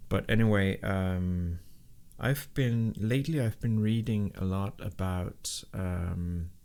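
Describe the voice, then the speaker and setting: A man chats loosely on his live stream. His voice sits low with a gravelly rasp. He wanders through thoughts with lots of ums and restarts, reading viewers' messages aloud. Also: darkish tone. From Denmark. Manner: rambling, with many fillers and restarts. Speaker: live-stream programming host.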